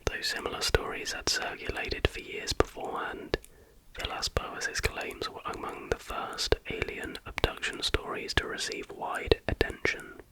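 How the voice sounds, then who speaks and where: Male ASMR host, fully whispered storytelling, breathy and unvoiced throughout. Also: a little slow, poor audio. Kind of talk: whispered ASMR storytelling, reading with casual asides.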